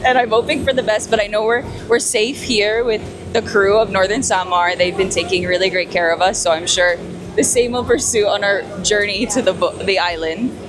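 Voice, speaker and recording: A woman's voice: medium pitch, fairly even intonation, moderate pace, background noise. A woman in her twenties from the United States, chatting casually and giggly as a pageant contestant hosting a vlog. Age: 20s. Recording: music playing behind the voice. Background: music.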